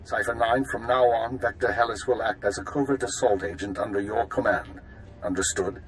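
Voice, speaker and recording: A man's voice: fairly low pitch, steady tone, normal speed, a little hiss or hum, close to an everyday voice, low-quality recording. A man voicing a curt, authoritative intelligence chief in a video game, clipped and businesslike. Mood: stern, commanding. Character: curt, authoritative spymaster man commanding agents.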